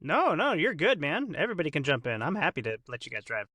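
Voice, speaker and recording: A man's voice: a little high, big pitch swings, quick pace, sharp tone. A man in his forties hosting a casual group discussion, with a joking, teasing manner. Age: 40s. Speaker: podcast host.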